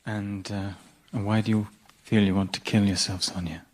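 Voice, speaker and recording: An actor playing a calm, patient helpline volunteer with measured, probing questions and sympathetic murmurs in radio comedy drama. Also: male voice, lowish voice, close to an everyday voice. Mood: calm concern.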